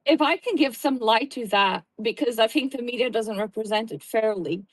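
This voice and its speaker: South african woman chatting, kinda high voice, dry close sound, explains stuff earnestly. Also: moderate pace, dry recording. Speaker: guest. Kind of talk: casual chat.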